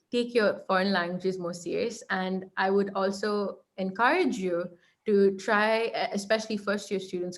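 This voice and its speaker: A young woman with an Indian accent, a law lecturer speaking as an interview guest, giving earnest advice with frequent restarts and "right?" checks. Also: medium pitch. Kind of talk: online interview conversation.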